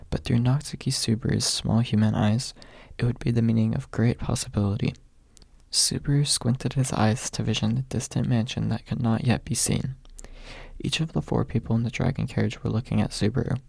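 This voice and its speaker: A man narrates a story in ASMR style. His voice is dark and relaxed, with plenty of fry. The sound is very dry and intimate, close to the mic. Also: mid-range voice, dry recording. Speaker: audiobook narrator. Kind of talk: ASMR audiobook reading.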